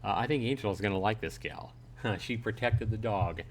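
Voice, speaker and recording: A man's voice: on the low side, normal speed, a little hiss or hum. A man hosting a livestream, reading a visual novel aloud and commenting casually to his viewers. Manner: conversational, chatting with viewers. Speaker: livestream host. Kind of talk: casual game commentary and read-aloud narration.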